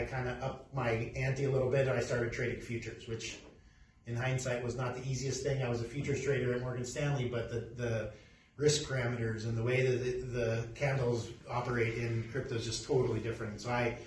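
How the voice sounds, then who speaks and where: A middle-aged man from Peru, a company CEO, introducing his background in a halting, casual way with many restarts. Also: mid-range voice, steady tone. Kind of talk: casual self-introduction talk. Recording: echoey room, distant mic, faint noise.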